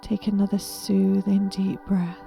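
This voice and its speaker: Woman doing guided meditation, super slow n gentle, whispery breathy voice, bit of creak, soft music behind. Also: medium pitch, little pitch movement. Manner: soothing, gentle, deliberate.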